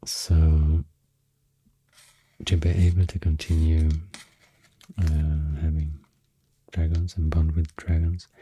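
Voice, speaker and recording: A man with a Swedish accent speaks in a very dark, low, breathy murmur that often sinks toward a whisper. He rambles gently in short, halting phrases, taking his time, as in an ASMR recording made right at the microphone. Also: flat delivery, slight background noise. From Sweden. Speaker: ASMR creator and host. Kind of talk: soft-spoken ASMR rambling. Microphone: close, dry microphone.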